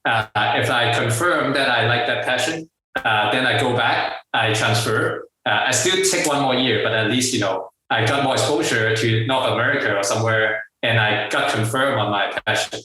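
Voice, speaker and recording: A middle-aged man with a Hong Kong accent and a bright, forward voice tells his story in a halting, filler-heavy way with frequent laughs. He sits some distance from the mic, and the room gives back a strong echo. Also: mid-range voice. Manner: halting, many fillers and restarts, laughs often. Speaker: guest entrepreneur. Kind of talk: casual interview storytelling. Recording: echoey room, far from the mic.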